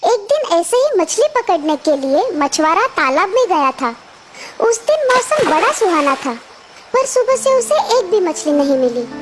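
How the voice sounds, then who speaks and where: A woman's voice: very high voice, big pitch swings, unhurried, background noise. A woman narrating a scripted children's story. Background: music.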